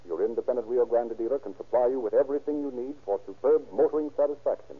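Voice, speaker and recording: A man's voice: medium pitch, quick pace, husky. An American man narrating in a formal, dramatic, scripted 1930s radio announcer style. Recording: tinny old-time radio recording.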